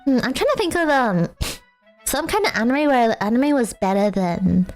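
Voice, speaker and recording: A woman's voice: on the high side, big pitch swings, moderate pace, soft background music. A young woman streaming a casual chat with viewers, rambling and self-mocking with lots of fillers and frequent laughter. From Australia.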